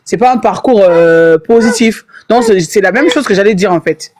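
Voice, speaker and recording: A woman from Brazzaville, speaking haltingly as a panelist in an online debate. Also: medium pitch, darkish tone, a little hiss or hum. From Brazzaville, Congo. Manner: hesitant, many fillers and restarts, defensive.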